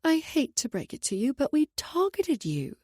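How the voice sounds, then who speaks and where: A woman's voice: sits high, very animated, moderate pace, a little mellow, dry recording, voice pushed up. A woman doing a taunting, spiteful young woman. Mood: mocking. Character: cool-voiced young woman, spiteful and mocking.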